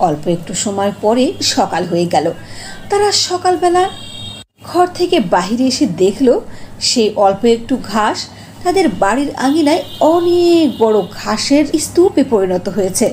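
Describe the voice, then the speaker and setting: A woman storyteller reads a scripted fairy tale in a mellow, fairly high voice. Her intonation is lively, and there is faint noise behind her. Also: moderate pace, low-quality recording.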